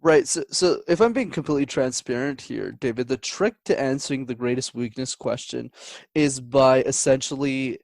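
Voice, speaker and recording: A man's voice: mid-range voice, normal speed, creaky, dry recording, clean studio sound. A man giving conversational advice as an interview guest, articulate and instructive, laying out points with examples. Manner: articulate, instructive, structured explanations. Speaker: communication coach, interview guest.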